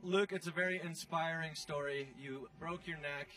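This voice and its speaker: A man hosting a casual sports post-game interview, chatty and excitable, with slangy, teasing delivery. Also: on the high side, moderate pace, recorded from a distance, low-quality recording. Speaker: show host interviewer. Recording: off-mic, music playing behind. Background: music.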